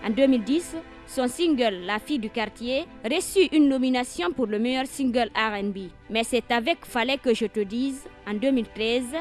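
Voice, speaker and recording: A woman's voice: a little high, normal speed, hardly any pauses, soft background music. A woman reading a formal scripted introduction as narrator. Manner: formal read-out.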